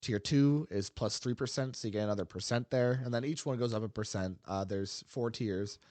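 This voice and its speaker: A man's voice: mid-range voice, a little fast, darkish tone, no room sound. A man co-hosting a casual podcast about a game, rambling with frequent ums and uhs and laughing easily. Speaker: podcast co-host.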